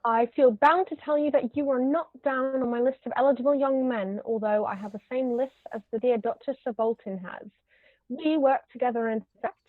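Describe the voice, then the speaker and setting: A woman's voice: moderate pace, natural voice. A woman reading the part of an imperious, snobbish older aristocratic lady in a stage comedy, pompous and formal in manner. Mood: haughty, appraising. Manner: pompous, formal, pronouncing judgments. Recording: roomy, muffled low-quality recording.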